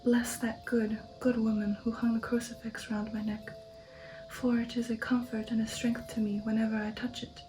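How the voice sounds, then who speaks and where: A woman speaking in a soft, breathy ASMR whisper, hushed and measured, as the host of a reading channel. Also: on the high side, level tone, soft background music, poor audio.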